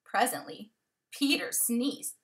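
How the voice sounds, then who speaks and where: A woman reading a children's story aloud in an expressive, emphatic storytelling manner. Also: fairly high pitch, slow. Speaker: story reader, teacher.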